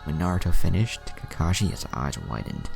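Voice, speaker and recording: A very deep, gravelly male voice narrates a fan fiction story with swooping, animated intonation. Music plays behind the reading. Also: normal speed. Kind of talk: scripted story narration with character dialogue.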